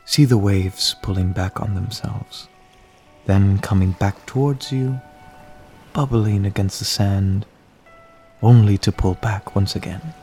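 A man playing a gentle, caring relaxation guide, leading a guided meditation in a calm, soothing, reassuring manner. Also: on the low side, slow, soft background music.

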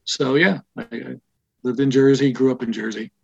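Older man, from Israel, store owner, gravelly, husky, wry storyteller, muffled remote sound. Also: medium pitch, fairly even intonation. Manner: reflective, wry, unhurried storytelling. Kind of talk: casual interview. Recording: dull lo-fi remote audio.

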